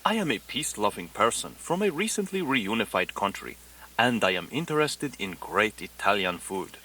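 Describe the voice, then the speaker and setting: A man playing an earnest language teacher in a radio parody, enunciating deliberately in mock foreign phrases. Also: lowish voice, very animated, pushed and bright, heavily acted. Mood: instructive. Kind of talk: parody of a broadcast language lesson.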